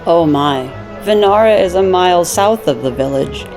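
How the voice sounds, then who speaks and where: Woman acting as elderly half-elf nun, earnest, formal, measured delivery, fantasy audio drama, music underneath. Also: on the low side, expressive, a little mellow, close to an everyday voice. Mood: helpful. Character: older half-elf nun, kindly and solemn. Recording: music behind the voice.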